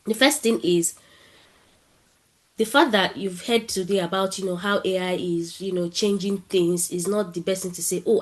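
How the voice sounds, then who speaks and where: Nigerian woman speaking with a cold. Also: fairly low pitch. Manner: chatty, asks the audience questions. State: has a cold, coughing. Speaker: speaker at an online tech event. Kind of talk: casual presentation talk. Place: home, online call. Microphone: video call.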